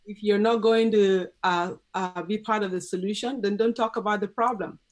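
A middle-aged woman with a Cameroonian accent, speaking as a parenting educator in a video call interview, earnest and measured. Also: medium pitch, fairly even intonation. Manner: earnest, measured, lists points steadily. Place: home office indoors. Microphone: video call webcam.

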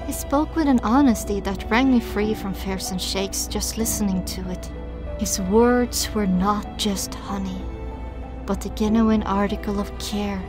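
A woman reading first-person dramatic audiobook narration, with expressive, deliberate phrasing and pauses. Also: mid-range voice. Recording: music behind the voice.

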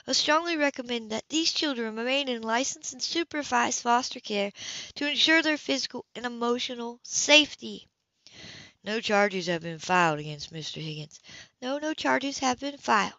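A woman's voice: medium pitch, bright, forceful tone, dry recording, highs cut off. A woman reading a romance novel aloud as an amateur narrator, stumbling and restarting now and then. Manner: reads with frequent slips and restarts. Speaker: audiobook reader.

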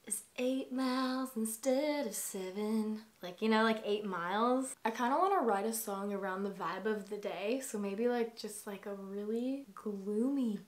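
A woman's voice: unhurried, hardly any pauses. Chatty young woman with a light voice. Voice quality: naturally higher voice. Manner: chatty, thinking aloud with many fillers. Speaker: singer-songwriter. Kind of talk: casual songwriting vlog, talking and singing. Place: small bedroom with string lights. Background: her own acoustic guitar playing.

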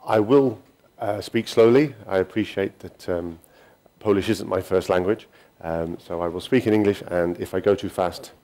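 A man's voice: low-pitched, creaky, a little mellow. Middle-aged guy giving a tech talk on stage, lots of ums and restarts. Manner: halting, many ums and restarts. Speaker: conference speaker. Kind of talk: technical conference talk. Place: conference hall.

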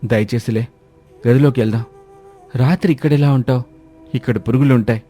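Casting: man as apologetic young husband, mellow voice, pleading and earnest, animated moral tale. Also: mid-range voice, brisk, faint music behind, lightly acted. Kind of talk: dramatized animated moral story.

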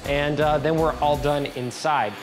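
A man's voice: on the high side, fairly even intonation, measured pace, music in the background. A man in his thirties hosting a casual how-to video, chatty and joking, filmed in a garage and outside a house. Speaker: DIY video host. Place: garage and outdoors beside a house.

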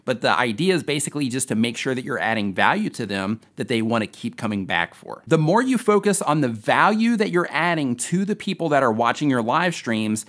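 A man in his forties hosts an upbeat tutorial from a small, dark studio. He addresses the camera directly in a scripted question-and-answer format. His voice is animated and full of pitch movement, and he leans on key words for emphasis. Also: a little fast, few pauses. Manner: upbeat, lively, emphatic explainer. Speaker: host.

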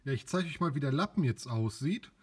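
A young man hosting a casual product review in his home garage, relaxed and chatty with frequent fillers. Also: medium pitch, a little fast, husky. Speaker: car care channel host. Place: small home garage, indoors.

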